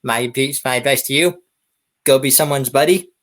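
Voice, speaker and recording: A man's voice: medium pitch, moderate pace, fairly bright tone. Man, podcast interviewer, mid-range voice, chatty, friendly hosting style.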